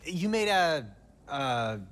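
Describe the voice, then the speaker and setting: Awkward, animated teenage boy. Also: fairly high pitch, slow, full character voice. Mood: eager, awkward. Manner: awkward, chatty, eager. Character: chatty, awkward, good-natured teenage boy, the best friend. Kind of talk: comic video game cutscene acting.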